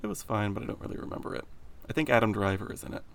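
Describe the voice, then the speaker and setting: A young man co-hosting a podcast, talking in a deep, slightly gravelly voice with a flat, deadpan, sarcastic delivery. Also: racing. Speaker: podcast co-host. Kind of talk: casual chat.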